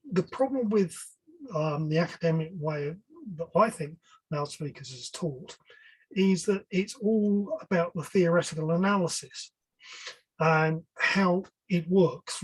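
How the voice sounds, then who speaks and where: An older man with a somewhat husky voice gives an informal expert talk. He speaks haltingly, repeating and rephrasing as he explains, in the manner of a guest on an online webinar. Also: high-pitched, poor audio. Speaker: guest speaker, loudspeaker engineer. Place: online video call.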